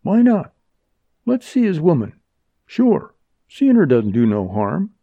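Man putting on brash, loud-pitched Western outlaws. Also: huge pitch swings, slow, no room sound, muffled recording, heavily acted. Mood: rowdy, demanding. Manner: brash, taunting. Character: rough, rowdy gang of Western outlaw men.

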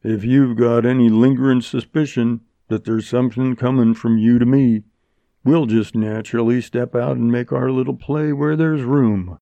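Storybook role: male reader as lean outlaw, unemotional, plain-spoken frontier manner, gravelly edge, quietly menacing. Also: fairly even intonation, normal speed, dry recording, lo-fi sound, natural voice. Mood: cool challenge. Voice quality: booming bass.

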